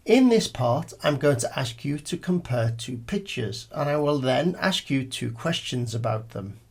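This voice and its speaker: Gravelly, husky male exam examiner. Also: mid-range voice, animated, measured pace. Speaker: exam examiner giving instructions and questions. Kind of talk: formal scripted test instructions.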